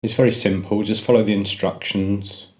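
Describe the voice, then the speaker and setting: Male meditation guide, low-pitched voice, gentle measured delivery with pauses. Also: level tone.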